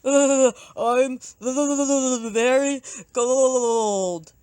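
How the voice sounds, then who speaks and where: A woman voicing a gentle, sad male bear who is cold and shivering, in a children's storybook read aloud, with drawn-out, hesitant, quavering speech. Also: high voice, fairly even intonation, clean tone, dry recording, studio quality. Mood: miserable, shivering.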